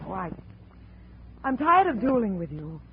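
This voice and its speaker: A femme fatale from an old radio mystery, evasive and on edge. Her delivery is hesitant yet theatrical, with wide pitch movement. The old recording is dull and slightly noisy. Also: very animated, normal speed. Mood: agitated pleading. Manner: dramatic, hesitant. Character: tense, evasive young femme fatale wife. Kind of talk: 1950s radio drama acting.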